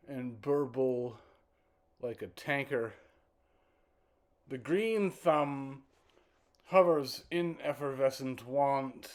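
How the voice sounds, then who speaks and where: Slow, deliberate man reciting poetry. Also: medium pitch. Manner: deliberate, fragmented phrasing with halting pauses and repeated words. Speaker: poet reciting own work. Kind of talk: dramatic spoken-word poetry recital.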